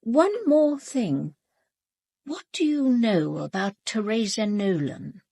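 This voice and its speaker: A woman's voice: a little high, sing-song, takes it slowly, vocal fry, warm tone, dry recording, clean studio sound, exaggerated voice. An actress playing an imperious old aristocratic lady in a radio drama, with a clipped, formal, upper-class English delivery. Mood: cool, commanding. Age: older.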